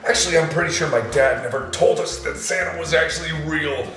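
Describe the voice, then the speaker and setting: A man in his twenties hosting a joking vlog, hoarse and gravelly from being sick, talking loosely with loud bursts. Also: a little high, fairly quick. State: hoarse from illness. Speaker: YouTube host. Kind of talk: casual comedic vlog. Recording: echoey room.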